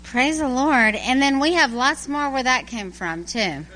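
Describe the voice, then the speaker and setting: A woman's voice: sits high, expressive, unhurried, pushed and bright, a little hiss or hum. A woman on ministry staff, talking to a church congregation in a casual, conversational way with frequent fillers. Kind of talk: church presentation. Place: large church sanctuary. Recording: long hall reverb in church.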